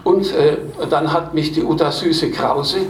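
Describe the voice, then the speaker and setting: A man's voice: on the high side, fairly even intonation, a little slow, slight background noise. A man from Baden reading his own stories to a live audience, chatting between readings with self-mocking, chuckling humor. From Baden, Germany. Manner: self-deprecating, chuckling storyteller. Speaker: author reading from his book. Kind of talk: live book reading with anecdotes. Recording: distant mic in an echoey room.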